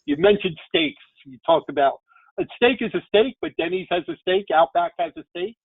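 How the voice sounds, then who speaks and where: Guy hosting, high bouncy voice with some gravel, sounds tinny like over the phone. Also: huge pitch swings, a little fast, no echo. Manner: friendly, chatty questions. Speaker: interview host. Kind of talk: casual interview over video call. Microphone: video call. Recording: tinny dry phone-like call audio.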